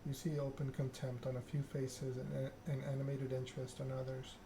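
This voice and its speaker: Man, game streamer, soft measured ASMR delivery, flat intonation, noticeable noise behind the voice. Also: mid-range voice. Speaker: game streamer reading aloud and chatting with viewers. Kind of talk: ASMR narration of a text game with casual chat. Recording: clear background noise.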